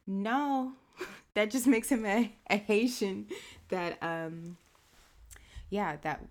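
A young woman co-hosting a podcast, talking in a reflective, agreeable casual chat. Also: medium pitch. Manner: reflective, agreeable, quick to laugh. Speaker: podcast co-host.

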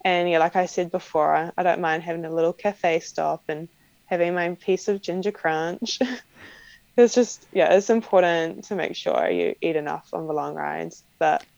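A young woman with a strong New Zealand accent talks casually in an interview, her speech peppered with "like" and small laughs. Her voice sits low with a gravelly, fry-tinged edge. The sound is dry and close, a bit dull and lo-fi. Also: normal speed, a little mellow, dry recording. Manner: chatty, frequent "like" fillers and light laughs. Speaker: professional cyclist guest.

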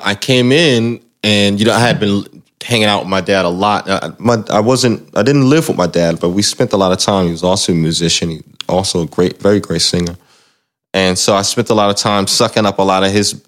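A man speaking as a musician guest in an interview, telling stories casually and reflectively, often repeating words for emphasis. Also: fairly low pitch. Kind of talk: casual conversational storytelling about their own career. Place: music recording studio.

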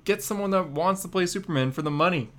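A man co-hosting a podcast in casual news chat, with a hesitant, apologetic and halting way of talking. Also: high-pitched, fairly bright tone. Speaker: podcast co-host.

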